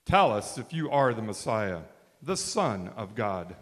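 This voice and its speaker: A man playing an indignant, swooping high priest. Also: sing-song, measured pace. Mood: stern, demanding. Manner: forceful, declamatory. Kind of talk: dramatic scripture reading. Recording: reverberant hall.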